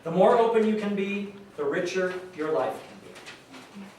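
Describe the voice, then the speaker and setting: An actor as an encouraging man handing out emphatic advice on stage. His voice is notably high, and it rings from a distance in a very echoey room. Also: lively intonation, normal speed, darkish tone. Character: encouraging man advising fellow parents. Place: stage in a reverberant room, recorded from afar. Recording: echoey hall, far mic, faint noise.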